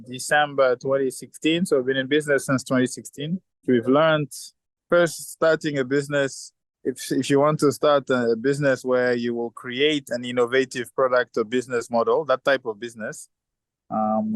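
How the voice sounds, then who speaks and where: A man's voice: mid-range voice, dry recording. Engineer guy answering interview questions, keeps restarting his sentences, dry close sound. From southern Africa. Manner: thoughtful, frequent restarts and fillers. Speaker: chemical engineer and company founder.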